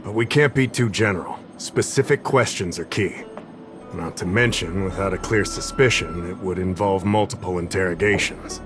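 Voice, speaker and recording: An actor playing an affable, easygoing investigative official in a video game, conversational and explanatory. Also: male voice, low-pitched, normal speed, music in the background, natural voice. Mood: explaining. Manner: conversational, slightly absent-minded, explanatory. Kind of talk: video game character acting.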